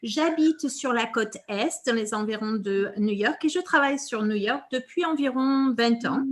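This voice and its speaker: A middle-aged woman from Marseille hosting a podcast, upbeat and lively as she introduces her guests by name. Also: fairly high pitch, moderate pace. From Marseille, France. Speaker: podcast host. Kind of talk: hosting a group chat.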